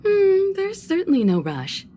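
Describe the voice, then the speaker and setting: A woman voicing a poised, mature crew leader who speaks calmly and with gentle authority in a game cutscene. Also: extremely high pitch, unhurried, full character voice. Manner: calm, composed, gently authoritative. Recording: game cutscene with faint music.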